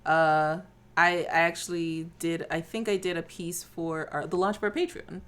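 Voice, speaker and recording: A woman's voice: on the low side, steady tone, normal speed, a bit bright, clean tone. A young woman co-hosting a casual podcast, talking with many fillers and restarts. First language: English. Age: young adult. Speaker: podcast co-host.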